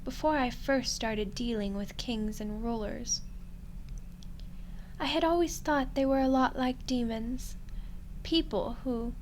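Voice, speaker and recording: An ancient demoness, played by a woman for a roleplay ASMR drama, speaks in a slightly high voice at a slow, deliberate pace. She is smug and condescending, with cool menace and dramatic pauses. Some background noise sits under the recording. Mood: smug, lecturing.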